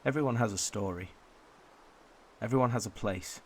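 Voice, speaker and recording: A young man acting a wistful, homesick twenty-two-year-old in a gentle, reflective radio drama monologue. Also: on the low side, moderate pace, a little hiss or hum, lightly acted. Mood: warmly nostalgic.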